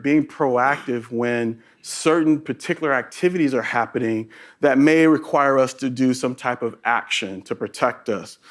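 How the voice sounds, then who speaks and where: Texas-accented man presenting at a tech conference. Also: mid-range voice. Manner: engaging, keeps asking "right?". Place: conference session room.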